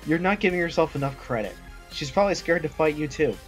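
A man voicing an easygoing, cheerful, slightly dim young man in a comic dub, with a husky voice. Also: a little high, animated, fast, soft background music, lightly acted. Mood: friendly, curious. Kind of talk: animated comic-dub voice acting.

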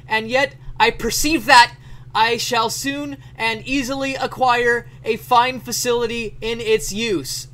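Guy acting out an excitable letter writer yelling every word, high and bright, dramatic reading. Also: heavily acted. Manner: shouting, declamatory, emphatic word by word.

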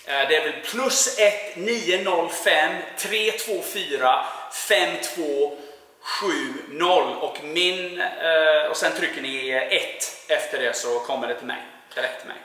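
Man, 40s, Swedish accent, bright voice, fairly high pitch, relaxed with "eh" fillers, big roomy warehouse. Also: slow pace. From Sweden. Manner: relaxed, many "eh" fillers, speaks in both English and Swedish. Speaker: painting contractor. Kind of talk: casual interview answers. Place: paint supply warehouse, indoors, large. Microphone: lapel mic. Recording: very echoey warehouse with long reverb.

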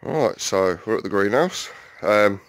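A man's voice: lowish voice, lively intonation, a little slow, dry recording. A man chatting casually to his viewers while walking around his allotment and greenhouse, with lots of "um"s and asides. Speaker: allotment vlogger. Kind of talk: casual walk-around vlog. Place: outdoors on an allotment and inside a greenhouse. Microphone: handheld phone camera, filming while walking.

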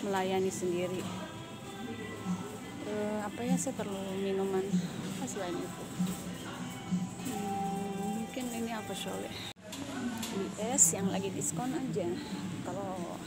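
A woman's voice: medium pitch, steady tone, a little slow, long pauses, over loud music, poor audio. A woman talking casually to viewers while she shops, thinking aloud with hums and fillers as she films on a phone in a large supermarket. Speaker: vlogger. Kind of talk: casual vlog narration while shopping. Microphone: phone camera held while pushing a cart. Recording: loud store noise behind the voice.

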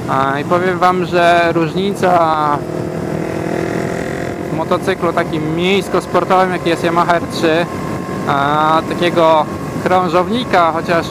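A man chats while riding a motorcycle, his voice sitting a little high. He talks in a relaxed, rambling way, filling pauses with hesitation sounds. Heavy engine and wind roar from a helmet mic sits under him. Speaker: motovlogger. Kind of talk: casual talk while riding. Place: riding a motorcycle through city traffic outdoors. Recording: loud engine and wind noise. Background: motorcycle engine and traffic.

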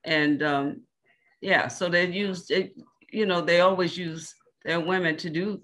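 A woman panelist on a video call, telling things in a halting, conversational, anecdotal way. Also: on the low side, fairly even intonation, a bit bright.

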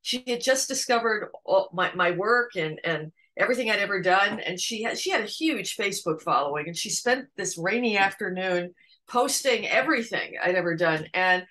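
Woman, middle-aged, singer-songwriter guest, bright tone, animated, video call. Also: moderate pace. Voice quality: deep voice. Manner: animated, gestures while telling stories. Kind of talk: casual video-call interview. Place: home room with red armchair.

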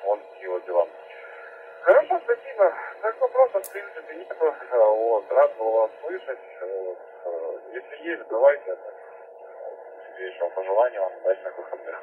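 A man's voice: fairly low pitch, huge pitch swings, moderate pace. A man heard over a radio transmission, making casual amateur radio contact in polite, short choppy phrases. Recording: narrow-band noisy radio speaker playback.

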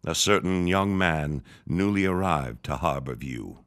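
An actor playing a scheming, vengeful old reverend in a period audio drama, menacing and grandiloquent in his deliberate speech. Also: extremely low pitch, animated, fairly bright tone, full character voice. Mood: coldly plotting. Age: older.

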